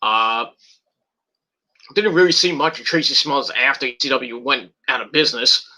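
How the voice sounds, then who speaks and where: Very bright-voiced man with a cold. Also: mid-range voice, low-quality recording. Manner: rambling, halting with many fillers and restarts. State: has a cold with a tickling cough. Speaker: wrestling video reviewer. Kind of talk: casual rambling vlog.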